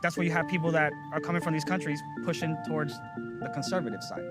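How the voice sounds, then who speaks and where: A man's voice: on the high side, fairly even intonation, quick pace, loud music behind the voice. A male voter, from Cuba, giving direct, firm opinions.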